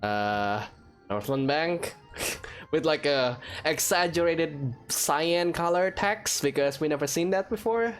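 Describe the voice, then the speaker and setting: A woman doing casual gameplay commentary in a halting, dryly joking way. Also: deep voice, animated, soft background music. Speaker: gaming streamer.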